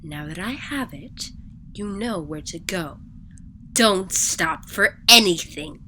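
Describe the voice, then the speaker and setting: A woman's voice: fairly low pitch, lively intonation, slow pace. Woman acting a haughty, hot-tempered alien woman, noisy backdrop. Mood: sullen, commanding. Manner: imperious, snappish. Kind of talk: science fiction audio drama acting.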